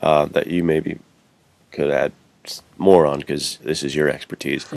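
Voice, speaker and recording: A man's voice: rumbling low, flat delivery, measured pace, gravelly, a little mellow, dry recording. A man co-hosting a podcast, chatting casually in informal bro slang. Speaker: podcast co-host. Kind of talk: casual chat.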